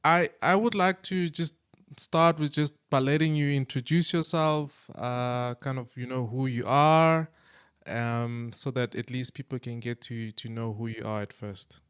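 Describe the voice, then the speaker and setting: Smooth-voiced hesitant podcast host. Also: male voice, medium pitch, fairly even intonation, a little slow, a bit bright. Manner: hesitant, many restarts and fillers. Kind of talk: conversational interview.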